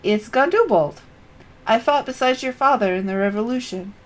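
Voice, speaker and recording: A woman reading aloud the lines of a hearty veteran male rebel fighter in old-fashioned phrasing, in a voice close to her own but louder. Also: on the high side, expressive, moderate pace, natural voice. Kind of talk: reading game dialogue aloud.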